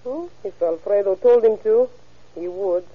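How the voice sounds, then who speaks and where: An actress playing a guarded female informant, speaking in a deliberate, insinuating way in an old radio crime drama. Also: medium pitch, slightly rough. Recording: tinny, narrow-band vintage radio recording.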